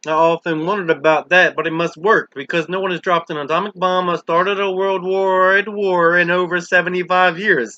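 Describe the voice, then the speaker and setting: Audiobook role: a man reading as a lowly housekeeper, earnest and confiding, bright forceful tone, slightly high pitch. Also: dry recording, dull audio. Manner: explanatory, confiding. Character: Maureen, lowly woman housekeeper confessing secrets, earnest and plainspoken.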